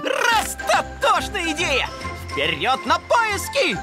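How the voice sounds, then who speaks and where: A woman voicing a cheerful, excitable young dragon in a children's cartoon, friendly and exuberant. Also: very high-pitched, slow, full character voice. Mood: enthusiastic. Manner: exuberant, exclamatory. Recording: music behind the voice.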